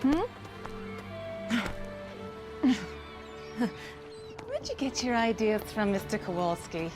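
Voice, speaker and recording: Shy young witch, very slow, high lively voice, music behind. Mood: shyly pleased. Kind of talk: film dialogue in a game cutscene.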